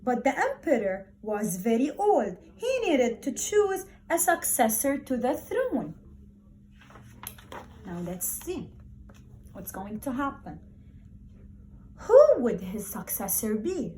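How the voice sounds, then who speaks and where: A woman primary school teacher speaking to young children in an encouraging, expressive way, repeating words for emphasis as she talks and reads aloud. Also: fairly high pitch, slow pace, pauses often, slight background noise.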